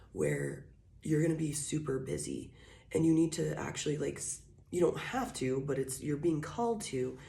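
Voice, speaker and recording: A woman giving a casual tarot reading. She is hoarse from being sick and talks softly and slowly, with fillers. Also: deep voice. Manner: soft, slow and drawn-out, with scattered fillers. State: hoarse, recovering from illness, coughing. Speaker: tarot reader. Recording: echoey room, faint noise.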